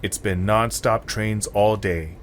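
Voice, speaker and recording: Casting: low-pitched man as solemn story narrator, measured and dramatic, clear background noise. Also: fairly even intonation.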